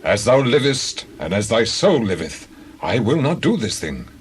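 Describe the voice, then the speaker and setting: A man's voice: lowish voice, sing-song, normal speed, slight background noise. A man playing a loyal, principled soldier in a scripture drama, declaiming earnestly. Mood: earnest, resolute. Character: loyal, principled male soldier refusing comfort.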